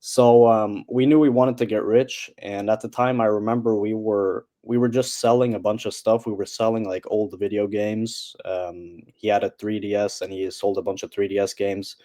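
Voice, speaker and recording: Teen guy from Norway, low mellow voice, hesitant guest. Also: steady tone, moderate pace, few pauses. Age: teens. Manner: hesitant, many fillers. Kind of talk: casual interview chat.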